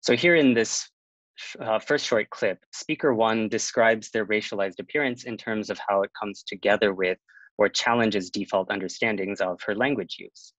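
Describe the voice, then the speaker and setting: A man presenting a prepared academic talk over a video call, in a measured reading manner. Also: lowish voice, a bit bright, no room sound. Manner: measured, reads from prepared notes. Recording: dull, dry lo-fi sound.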